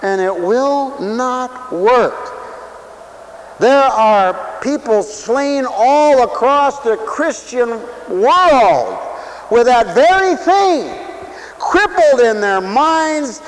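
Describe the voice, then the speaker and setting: A man preaching a sermon for radio, who swings from loud, stretched-out lines to quiet asides and acts out other people's voices. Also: very high voice, expressive, drawn-out words, slight background noise, poor audio. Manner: dramatic swings from shouting to near whisper, acts out voices.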